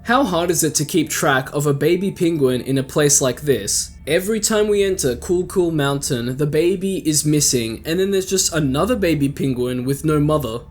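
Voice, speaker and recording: Man, slightly high voice, casual video-essay host, wry, conversational, asides, music underneath. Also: moderate pace, hardly any pauses. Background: background music.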